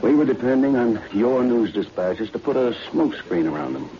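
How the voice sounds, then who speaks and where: A man playing a menacing, calculating party conspirator in 1940s radio style, deliberate and sinister, on a muffled old recording with clear hiss. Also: medium pitch, expressive, natural voice. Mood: suspicious, calculating.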